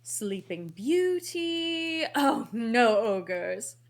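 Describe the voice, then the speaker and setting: Haughty fairy godmother villainess, acted by a woman; high, slow, theatrical, mockingly sweet. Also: big pitch swings, heavily acted. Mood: mocking, exasperated. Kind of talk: comic table read of an animated film script.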